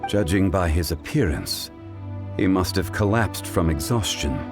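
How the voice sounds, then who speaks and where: A man voicing a dignified, composed gentleman scholar in a video game, speaking in a formal, deliberate manner. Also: sits low, animated. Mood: grave, thoughtful. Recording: faint music behind the voice.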